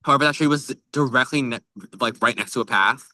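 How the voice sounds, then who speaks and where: A man's voice: medium pitch, quick pace, sharp tone, no room sound. A young man chatting casually as the co-host of a book club podcast. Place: outdoors by a tree at a lake.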